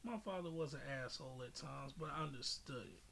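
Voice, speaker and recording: A man's voice: mid-range voice, expressive, a little fast, gravelly, fairly bright tone, a little hiss or hum. A man hosting a podcast in casual chat, talking in an opinionated, profane and rambling way. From military family, lived in Japan growing up. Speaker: podcast host.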